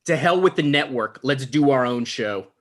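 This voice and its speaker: A man's voice: medium pitch, expressive, moderate pace, natural voice. A man playing a needy, starstruck comedy show creator in a table read, in an earnest conversational way. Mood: defiant.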